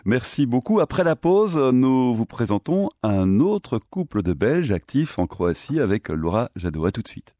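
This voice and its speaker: A man with a Belgian French accent hosting a radio interview, asking measured questions with pauses. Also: medium pitch, very animated, warm tone, dry recording. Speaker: radio host.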